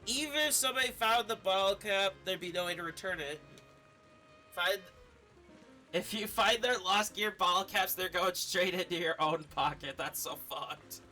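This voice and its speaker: A man's voice: high-pitched, moderate pace, bright, forceful tone, soft background music. A man doing game streamer commentary and reading game text aloud.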